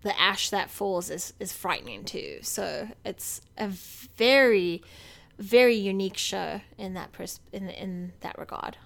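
A woman co-hosting a review podcast, chatting casually in a hesitant, halting way. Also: mid-range voice, vocal fry, fairly bright tone. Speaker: podcast co-host.

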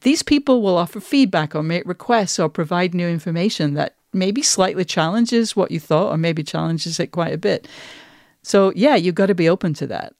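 A woman's voice: on the low side, normal speed, darkish tone, no room sound. A woman podcast host speaks in a thoughtful, conversational way, choosing her phrases with care. Her voice is recorded close and dry, with no room around it.

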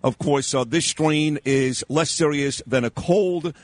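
A man's voice: on the high side, no room sound. A male radio host chatting brashly and joking, mixing news talk and sports updates. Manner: brash, joking, rapid asides.

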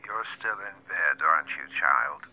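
A man's voice: rumbling low, expressive, measured pace, phone-quality sound, exaggerated voice. An actor playing a fatherly, faintly lecherous pastor in a horror audio drama, coaxing through a telephone filter. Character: folksy, fatherly, faintly lecherous male small-town pastor. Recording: thin, band-limited telephone sound.

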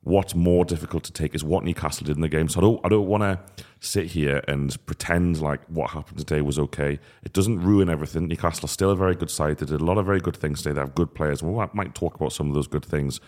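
A man's voice: very deep voice, fast, darkish tone. A man hosting a football podcast, talking bluntly and swearing in frustration. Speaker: podcast host.

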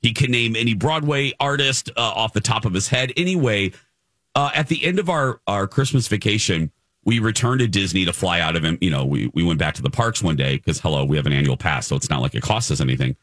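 A man hosting a morning radio show, chatting casually and effusively, often halting and repeating himself. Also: lowish voice, animated, fairly bright tone, no echo, studio quality. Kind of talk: casual chatty radio talk with personal anecdotes.

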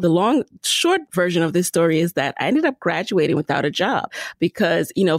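A woman's voice: fairly low pitch, dry recording, studio quality. A woman in her thirties with an American accent, telling her own story conversationally as a podcast guest. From born in Jamaica, raised in the Bronx, New York.

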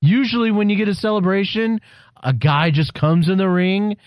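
A man's voice: sits high, normal speed, no room sound. A man co-hosting a casual wrestling chat, joking and rambling, swearing freely. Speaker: podcast co-host.

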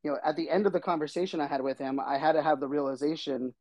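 Male podcast host, middle-aged, a bit hoarse, rambling casual delivery, dry sound. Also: on the high side, fairly even intonation, very fast, a little mellow, no room sound, highs cut off. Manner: rambling, chatty, many fillers. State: slightly hoarse. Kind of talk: casual solo podcast talk.

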